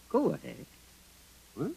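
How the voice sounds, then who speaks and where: An actor playing a coolly superior Venusian invader in 1950s radio drama, condescending, articulate and menacingly polite. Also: male voice, a little high, vocal fry, warm tone, slightly rough, full character voice, rough recording. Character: coolly superior male Venusian invader posing among humans.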